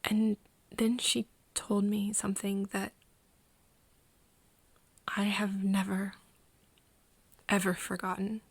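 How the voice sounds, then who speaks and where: A woman playing an earnest young woman confiding in a friend in an ASMR roleplay, speaking softly and haltingly, often in a whisper. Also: mid-range voice, level tone, no echo. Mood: sad, hesitant. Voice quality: soft, breathy, often whispering. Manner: halting, hesitant confiding with pauses. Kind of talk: ASMR roleplay, intimate one-sided conversation.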